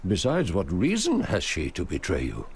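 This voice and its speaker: A kindly old counsellor in a radio play. His low voice crackles with fry, solemn and fatherly, over a little background ambience. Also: huge pitch swings, moderate pace, full character voice. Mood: concerned, tender. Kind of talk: radio drama acting.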